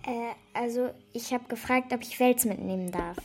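Woman acting an excitable high-voiced young girl, pleading and insistent. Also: normal speed, faint music behind, natural voice. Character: eager, scheming young girl devoted to her dog. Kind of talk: children's radio drama acting.

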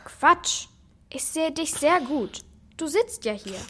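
Young girl, high voice, blunt, confident, loyal know-it-all best friend for a children's radio play. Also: lively intonation, takes it slowly, a bit bright, heavily acted. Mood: reassuring. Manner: blunt, matter-of-fact, confident.

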